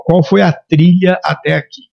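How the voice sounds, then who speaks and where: Male podcast host, middle-aged, creaky mid-pitched voice, chatty interviewing, slightly dull audio. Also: steady tone, normal speed. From Minas Gerais, Brazil. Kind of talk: casual interview chat.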